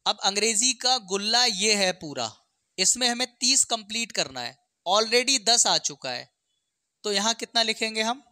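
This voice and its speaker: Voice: medium pitch, expressive, measured pace, sharp tone, no echo, clean studio sound. A maths teacher explains exam problems step by step, often checking in with short questions.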